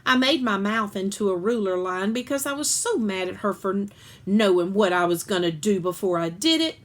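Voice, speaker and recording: Casting: female story reader, medium pitch, expressive read-aloud narration for children. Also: moderate pace.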